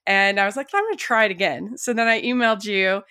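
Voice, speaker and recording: A woman's voice: medium pitch, quick pace, pushed and bright, clean tone. A woman hosting a film critics podcast, chatting eagerly with her guest and rambling through her stories with many restarts. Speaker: podcast host. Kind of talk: casual interview chat.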